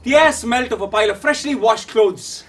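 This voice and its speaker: Man acting a high-voiced, theatrical young Indian man. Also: normal speed, distant mic, soft background music. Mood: reflective. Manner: theatrical, declamatory, swinging from hushed to shouting. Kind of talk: dramatic monologue storytelling.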